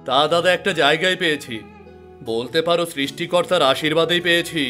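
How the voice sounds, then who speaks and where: A man's voice: a little high, brisk, bright, forceful tone, soft background music. A man playing an honest, humble village shoe seller in a cartoon folktale, speaking politely and earnestly.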